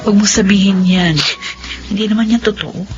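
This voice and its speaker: An actress with a Filipino accent playing a gentle, consoling older sister in a soothing radio drama delivery. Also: female voice, mid-range voice, fairly even intonation, a little fast, close to an everyday voice. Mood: soothing, concerned. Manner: soothing, reassuring. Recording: muffled radio drama audio, music bed.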